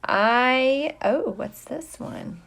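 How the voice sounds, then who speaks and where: A woman's voice: high voice, expressive, drawn-out words, gravelly, fairly bright tone. A middle-aged woman, a teacher, chatting casually and playfully with young viewers on a livestream, drawing out her greetings. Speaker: teacher hosting a livestream for students.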